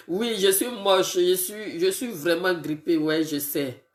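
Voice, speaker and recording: A woman who has a bad cold, greeting her viewers one by one on a casual live video and talking in a halting way with many fillers. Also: on the low side, echoey room. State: congested with a cold, sniffly and tired. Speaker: political live streamer.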